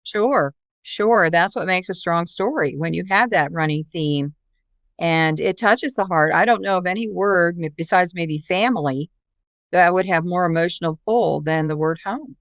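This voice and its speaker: A woman's voice: fairly low pitch, normal speed, no room sound. A middle-aged woman hosting an interview in a conversational style. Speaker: interview host, author.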